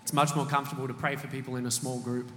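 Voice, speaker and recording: A man's voice: mid-range voice, flat delivery, rapid-fire, a bit bright, slight background noise. Man telling stories in an echoey hall. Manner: storytelling, hesitant fillers. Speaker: small group leader. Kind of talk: casual church panel chat. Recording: echoey hall with long reverb.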